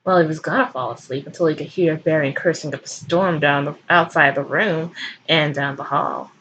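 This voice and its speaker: A woman's voice: low voice, fairly even intonation, normal speed, a bit bright, muffled recording, slight background noise. A woman giving a comic dramatic reading of fan fiction as host, in a theatrical, irreverent manner.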